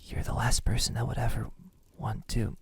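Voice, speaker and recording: A man playing a centuries-old vampire, lovesick and possessive, in a soft, intimate ASMR roleplay with halting, tender delivery that often drops to a whisper. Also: mid-range voice, level tone, lightly acted. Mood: tender, reassuring. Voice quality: breathy, soft, husky. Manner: slow, hesitant, halting, tender.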